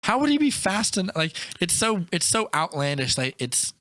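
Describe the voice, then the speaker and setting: A man co-hosting a podcast, talking casually about films in an animated, opinionated, rambling way. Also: sits high, moderate pace, no room sound, clean studio sound. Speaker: podcast co-host. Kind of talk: casual film discussion.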